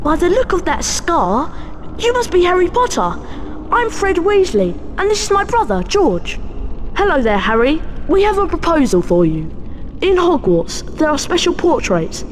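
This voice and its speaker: A woman's voice: high voice, in a large hall, slight background noise. A woman voicing an eager, chummy young schoolboy wizard in a video game.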